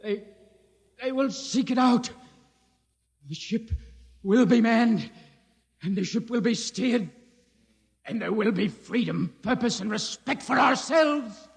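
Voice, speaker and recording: A defiant, impassioned rebel from a 1950s radio play. The voice is pitched high for a man and rings with long reverb. The delivery is declamatory and fervent, like formal oratory. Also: expressive, slow, creaky, long pauses, full character voice. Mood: fervent, oratorical. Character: impassioned, defiant male rebel pleading with armed soldiers. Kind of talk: 1950s radio drama acting.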